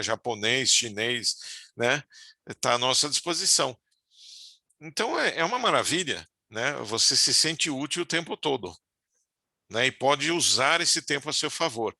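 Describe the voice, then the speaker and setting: A middle-aged man talks through spiritual ideas in an easygoing, conversational way, often ending his sentences with little tag questions. His voice is very bright and forward. The sound is close and dry, with no room around it. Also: mid-range voice, creaky, dry recording. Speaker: spiritual teacher. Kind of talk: casual spiritual talk.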